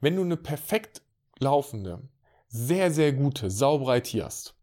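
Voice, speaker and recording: A man's voice: medium pitch, big pitch swings, a little slow, darkish tone. A man working as an IT consultant, speaking in a casual, direct monologue and addressing the listener informally.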